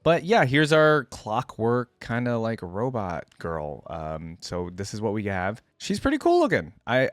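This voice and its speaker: A man's voice: fairly low pitch, no room sound, clean studio sound. A man giving casual, easygoing reaction commentary as a video host, rambling and thinking aloud.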